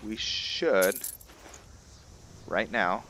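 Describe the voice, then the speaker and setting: A man hosting a roleplay playthrough with casual game commentary, halting as he thinks aloud. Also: fairly low pitch, noisy background. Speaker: gameplay host, roleplayer. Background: soft background game music.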